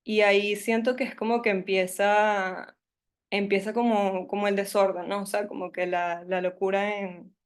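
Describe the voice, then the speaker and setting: A woman's voice: medium pitch, flat delivery, moderate pace. A young woman from Caracas with a Venezuelan Spanish accent, telling her life story at a workshop in a hesitant, nervous way, full of fillers. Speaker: workshop speaker. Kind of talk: personal storytelling talk.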